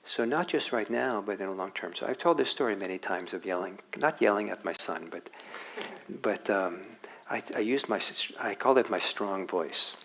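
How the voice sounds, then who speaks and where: A man giving a dharma talk as a meditation teacher, speaking reflectively with frequent pauses and restarts. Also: lowish voice, expressive, gravelly, a bit bright, rough recording. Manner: halting, reflective, many restarts. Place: meditation hall.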